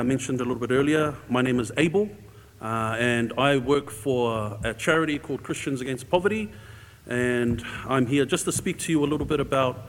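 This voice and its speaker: A man with a New Zealand accent speaks to a congregation in a big, reverberant hall. His pitch is average for a man. He talks informally and conversationally, feeling his way through a personal story as a visiting speaker. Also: slight background noise. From New Zealand, grew up in Auckland. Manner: casual, conversational, hesitant phrasing with tag "eh". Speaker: guest speaker for a charity. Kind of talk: informal church talk, sharing a personal story. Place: large indoor church hall. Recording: echoey hall with long reverb.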